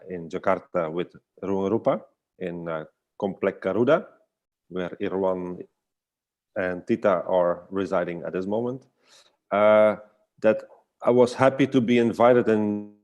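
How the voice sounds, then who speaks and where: Very deep-voiced man, thoughtful and hesitant. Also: a little mellow. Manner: hesitant, searching for words. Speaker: guest artist and storyteller. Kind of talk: casual reflective online conversation.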